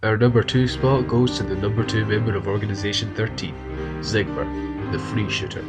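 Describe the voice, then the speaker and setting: A man's voice: fairly low pitch, moderate pace. A man with a cold giving earnest, casual commentary on his favorite game characters, often restarting his sentences as he thinks aloud. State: has a cold. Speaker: video game fan commentator. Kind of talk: casual ranked-list commentary. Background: music.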